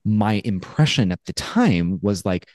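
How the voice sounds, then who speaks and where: A man in his forties co-hosting a podcast over a video call, telling a story in a casual, animated way and acting out his own thoughts. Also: lowish voice, unhurried, dry recording, clean studio sound. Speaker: podcast co-host. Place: home studio with brick wall. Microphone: headphones and studio mic on a video call.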